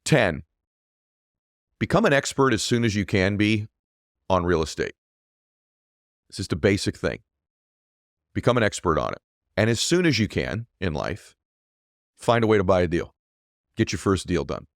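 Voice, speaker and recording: Male podcast host, very low deep voice, earnest coaching delivery, dry close sound. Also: very animated, normal speed, long pauses, dry recording. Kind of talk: motivational monologue with ad read.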